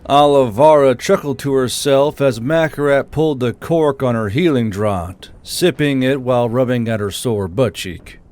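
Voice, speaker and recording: A man's voice: medium pitch, moderate pace, hardly any pauses, a little mellow. A man reading scripted fantasy fiction as the narrator of an audiobook. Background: music.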